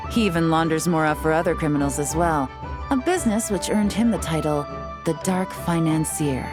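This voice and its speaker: Actress playing woman investigator, lowish voice, cool, articulate, businesslike, wry, game dialogue, faint music. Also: moderate pace, lightly acted. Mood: cool, explanatory. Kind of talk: scripted video game dialogue.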